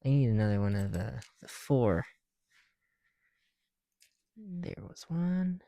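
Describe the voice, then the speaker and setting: A man chats informally to his viewers in an ASMR style. His voice is soft and dark-toned, at a middling pitch for a man. The sound is dry, with very little room to it. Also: lively intonation, slow, vocal fry, dry recording. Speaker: ASMR video creator. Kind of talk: casual ASMR chat while building bricks. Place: small room at home, on the floor.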